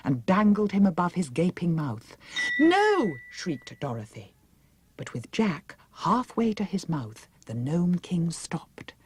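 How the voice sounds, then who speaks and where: A woman with a British accent narrating a children's audiobook in an expressive, hushed and suspenseful manner. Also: deep voice, husky, no echo. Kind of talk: dramatic children's story narration.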